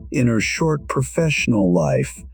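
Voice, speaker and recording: A man with a husky voice narrating a scripted first-person story in a measured, reflective manner. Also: mid-range voice, very animated.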